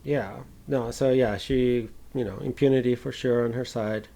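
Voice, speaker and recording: A man co-hosting a film podcast, talking casually and haltingly, with some informal swearing. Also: mid-range voice, steady tone, gravelly, warm tone.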